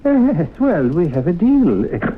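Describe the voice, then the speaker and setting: A man's voice: sits high, big pitch swings, takes it slowly, exaggerated voice. A man playing a wily, fussy professor in a 1970s radio drama, genteel, hesitant and mock-reluctant as he bargains. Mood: stubborn, wheedling. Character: wily, fussy male professor haggling over his demonstration. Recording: muffled, hissy old radio broadcast.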